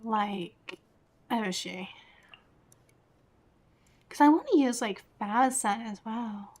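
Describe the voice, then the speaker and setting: A woman's voice: a little high, slow, darkish tone. A woman streaming a game, chatting casually and thinking aloud in an informal way. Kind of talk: casual live gaming stream commentary.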